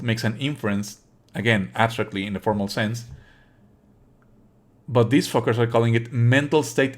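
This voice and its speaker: A man livestreaming casual game commentary, opinionated and scornful, swearing and explaining in a halting way with repeated phrases. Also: medium pitch.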